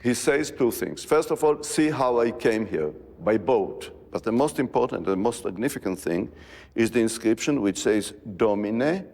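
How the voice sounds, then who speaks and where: A man's voice: mid-range voice, expressive, normal speed, darkish tone. Man explaining in an echoing chapel. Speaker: expert guide. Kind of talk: explaining an inscription on site.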